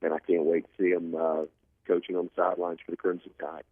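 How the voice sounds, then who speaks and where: A man's voice: low voice, level tone, fast talker. A man giving emphatic sports analysis as an interview guest, often repeating a point to drive it home. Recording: narrow phone-like line.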